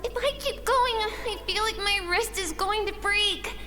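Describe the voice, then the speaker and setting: A woman's voice: very high-pitched, unhurried, bright, forceful tone, faint music behind, exaggerated voice. A woman voicing an exhausted, suffering young sword apprentice girl in a video game, weary and pleading. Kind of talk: dramatic video game voice acting. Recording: long hall reverb, faint noise.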